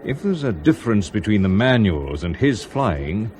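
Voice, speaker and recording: Firm, low-voiced airline chief. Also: male voice, sing-song, moderate pace, a little mellow, a little hiss or hum. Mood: firm, emphatic. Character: loyal, firm, experienced male airline aviation chief. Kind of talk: BBC radio drama acting.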